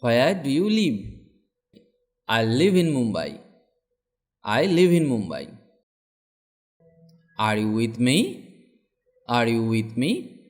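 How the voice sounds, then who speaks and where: Male teacher voice for drills; slow and deliberate, animated intonation on questions and answers. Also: mid-range voice. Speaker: English teacher reading practice phrases. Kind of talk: slow model sentences for learners, each said twice.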